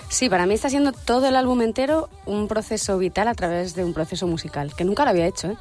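A woman's voice: mid-range voice, darkish tone, faint music behind. Candid woman singer on radio. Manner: candid, reflective, quick to laugh. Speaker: singer guest. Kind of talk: radio interview chat. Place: radio studio.

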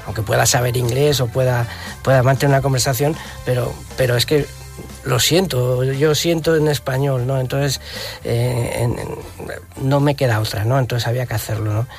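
A man who sings, interviewed in Spanish in a casual radio talk, speaking haltingly with many fillers and repeated words. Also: medium pitch, fairly even intonation, creaky, soft background music. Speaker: singer and interviewed musician.

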